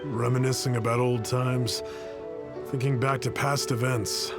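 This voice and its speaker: A kind young cook, played by a man with a middle-pitched voice. He speaks gently and thoughtfully. Game music plays clearly behind him. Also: fairly even intonation, unhurried, lightly acted. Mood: gentle. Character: warm-hearted young male cook. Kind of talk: video game voice acting.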